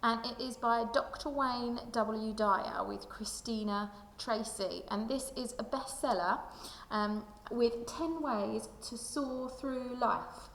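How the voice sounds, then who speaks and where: A woman with a fairly high voice reads a picture book aloud in a very echoey room with a long reverberant tail. She speaks like a primary school teacher, shaping each phrase expressively and deliberately for young listeners. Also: fairly bright tone, a little hiss or hum.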